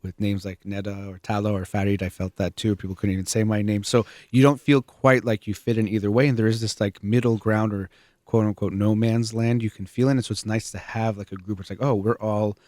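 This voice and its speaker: A man hosting a conversational discussion, thoughtful and pausing to explain terms for listeners. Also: lowish voice, flat delivery, a little mellow, no echo, studio quality.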